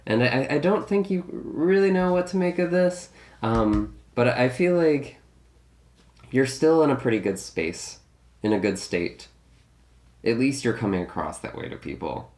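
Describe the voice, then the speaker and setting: A man giving a casual tarot reading, slightly raspy from a recent illness, musing and thinking aloud with many hedges and restarts. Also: mid-range voice, sing-song, unhurried, darkish tone. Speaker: tarot reader.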